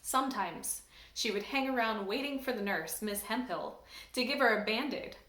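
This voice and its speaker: A woman from a church staff reading a children's book aloud in an expressive, lively storytelling manner. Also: on the high side, normal speed. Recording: roomy echo.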